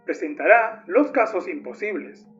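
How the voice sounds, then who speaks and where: High voiced guy narrating from a script, kinda muffled audio w music under it. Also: animated, moderate pace, a bit bright.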